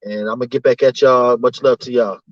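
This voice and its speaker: A man's voice: mid-range voice, steady tone, fairly quick, no room sound, highs cut off. A man hosting a casual livestream, reading out names from the chat and often tagging his lines with "you know what I'm saying". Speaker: livestream host.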